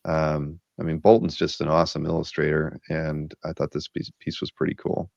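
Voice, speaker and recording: A man hosting a casual video-call chat about art, rambling and enthusiastic with lots of fillers, a bit hoarse from a cold. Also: a bass voice, monotone, moderate pace, darkish tone, no echo, highs cut off. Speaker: podcast host. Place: home office, video call.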